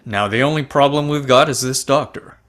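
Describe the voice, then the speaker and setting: A man's voice: medium pitch, brisk, fairly bright tone, close to an everyday voice. A man playing a stubborn, accusing husband in an amateur drama table read, with a blunt delivery.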